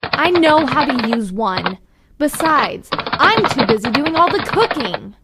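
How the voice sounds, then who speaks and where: Woman acting a high-voiced, exasperated girlfriend. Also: big pitch swings, measured pace. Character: exasperated girlfriend sniping at her boyfriend online. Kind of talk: audio play dialogue. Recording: noisy, keyboard typing behind.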